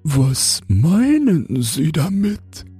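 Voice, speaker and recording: A man's voice: on the high side, big pitch swings, drawn-out words, dark tone, breathy edge, faint music behind, voice pushed up, exaggerated voice. A man voicing a haughty little caterpillar that drawls in a pompous, indignant way for a storybook. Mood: indignant, possessive. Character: slow, haughty, self-important little caterpillar.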